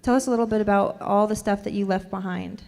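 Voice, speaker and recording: A woman's voice: mid-range voice, fairly even intonation, fast talker, gravelly, darkish tone. A woman hosting an interview, prompting her guest in a friendly, chatty way. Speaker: host, interviewer. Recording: long hall reverb.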